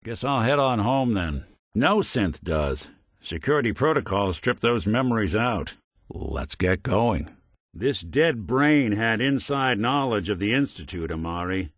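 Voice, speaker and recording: Old robot private eye from a game, low voice that swings around a lot, tired, wry noir talk, super dry close recording. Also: male voice, sing-song, measured pace, no echo. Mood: dry, matter-of-fact. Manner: wry, world-weary, slangy detective talk. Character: weary old synth detective, wry and loyal. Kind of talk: hard-boiled noir game voice acting.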